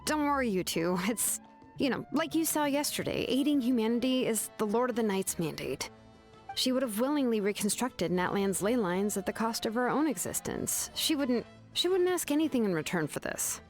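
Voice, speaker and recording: A woman playing a young, lively, earnest warrior ally in a video game, with an animated delivery. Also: a little high, moderate pace, close to an everyday voice. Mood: reassuring. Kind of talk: English video game voice acting. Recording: game music behind the voice.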